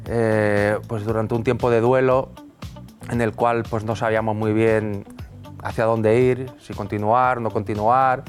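Male band member, low pitch, stumbling and repeating himself, faint music under. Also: little pitch movement, unhurried. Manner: hesitant, many fillers and repeated words. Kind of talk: interview answers.

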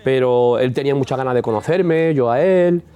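A man's voice: mid-range voice, normal speed, mellow, clean tone, faint music behind. A man from Spain, a singer, talking through his story in a reflective, rambling way with frequent restarts. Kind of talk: interview answers.

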